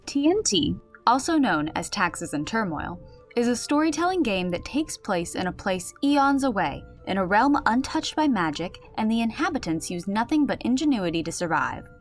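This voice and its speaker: A woman playing a composed, patient but firm storyteller in a fantasy audio drama, explaining with gentle authority. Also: mid-range voice, very animated, moderate pace, faint music behind.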